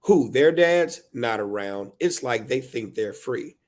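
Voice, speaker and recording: A man's voice: medium pitch, lively intonation, takes it slowly, darkish tone. A man voicing an exasperated, overwhelmed single mother of three in a dramatic, emphatic spoken-word book reading. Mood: weary, defensive.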